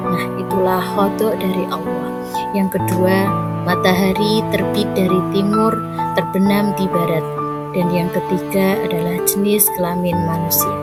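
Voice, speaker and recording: A woman teaching a recorded lesson for schoolchildren, explaining step by step in a clear, measured way. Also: medium pitch. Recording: loud background music.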